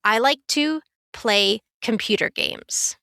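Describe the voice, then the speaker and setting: A woman teaching a beginner English lesson in a careful, clear, scripted way. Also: a little high, slow, pushed and bright, dry recording, studio quality. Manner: clear, careful, instructive delivery.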